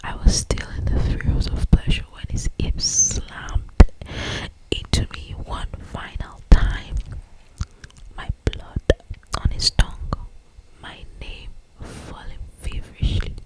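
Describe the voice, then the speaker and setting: An ASMR host reading romance fiction aloud in a breathy, intimate whisper, with drawn-out phrasing. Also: poor audio.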